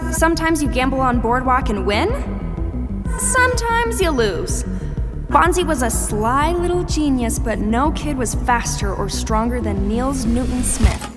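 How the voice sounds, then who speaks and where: A woman's voice: high-pitched, expressive, a little slow. A woman narrating a scripted family adventure story in a wry, knowing manner. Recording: long reverb, music bed.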